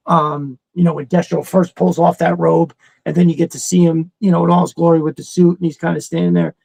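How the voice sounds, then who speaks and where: A middle-aged man co-hosting a casual fan chat, talking in an excitable, rambling, profanity-laced way. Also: on the high side, fairly quick, gravelly, dry recording. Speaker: podcast co-host.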